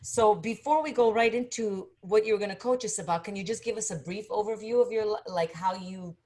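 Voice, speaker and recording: A woman's voice: mid-range voice, a little mellow. A woman hosting an interview show, talking enthusiastically with frequent fillers and restarts. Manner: enthusiastic, halting with many fillers. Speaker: podcast host. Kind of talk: casual interview chat.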